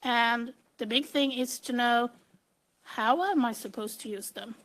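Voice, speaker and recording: A woman's voice: fairly high pitch, a little slow, a bit bright. A middle-aged woman with a Swedish accent, giving an informal, plain-spoken conference presentation in non-native English. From Sweden. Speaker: conference speaker, computer engineer.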